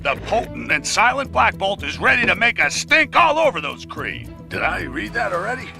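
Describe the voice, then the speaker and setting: An actor playing a boastful, wisecracking game narrator with a gravelly voice and a showy, self-important way of telling a story. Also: male voice, high voice, sing-song, normal speed, sharp tone, faint music behind, exaggerated voice. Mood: boastful, jokey. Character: boastful, jokey storyteller narrator addressing the audience. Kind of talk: comic scripted game narration.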